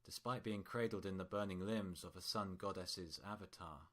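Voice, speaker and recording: A man with a low-pitched voice narrates a piece of fiction. He reads scripted prose as the storyteller, in a deep register. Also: normal speed.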